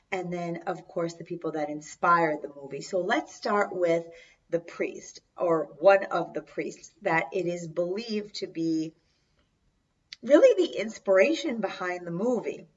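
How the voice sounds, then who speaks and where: A woman with a raspy, congested voice hosting a video, chatting casually to viewers with conversational, emphatic asides. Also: on the low side, a bit bright. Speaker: host of a video channel. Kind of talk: casual chat to viewers, recounting film trivia. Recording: distant mic, dull lo-fi sound.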